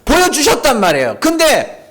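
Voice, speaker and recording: Male pastor, sermon delivery, lively rising-and-falling voice, emphatic and chatty, keeps tossing questions at the pews. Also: very high-pitched, a little fast. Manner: conversational, peppered with rhetorical questions to the congregation; emphatic and animated. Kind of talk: sermon at a Friday prayer meeting. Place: church sanctuary.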